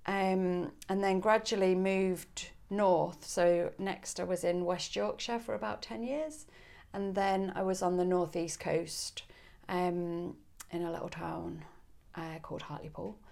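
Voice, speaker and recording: Northern English woman priest, relaxed guest, unhurried delivery with a gravelly fry. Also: lowish voice. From Coventry, England. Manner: relaxed, chatty, laughs easily, many ums. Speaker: parish priest. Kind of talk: podcast interview.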